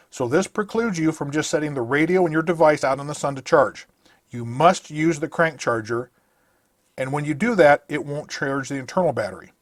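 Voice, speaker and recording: A man's voice: fairly high pitch, dry recording, studio quality. A man narrating a scripted product review, listing features in a methodical, matter-of-fact way.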